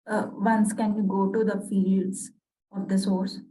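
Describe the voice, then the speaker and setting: A woman trainee asking questions in an online software class, with hesitant, halting phrasing. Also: mid-range voice, little pitch movement. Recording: distant-sounding online call audio.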